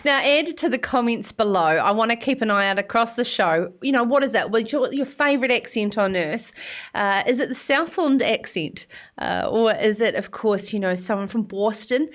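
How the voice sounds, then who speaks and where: A woman's voice: on the high side, expressive, moderate pace. Bubbly New woman hosting a show. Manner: bubbly, teasing, frequent laughter. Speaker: host. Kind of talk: chatty live show hosting.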